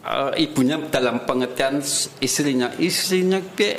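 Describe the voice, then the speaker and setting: A man with a Tegal Javanese accent who tells stories in a relaxed way as a podcast guest, mixing regional dialect into his talk. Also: medium pitch, normal speed, reverberant, slight background noise. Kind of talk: casual conversation.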